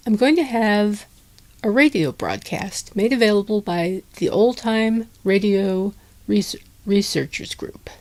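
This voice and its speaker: A woman's voice: medium pitch, darkish tone, no room sound. A woman introducing her podcast episode in a friendly, plain way. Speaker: podcast host.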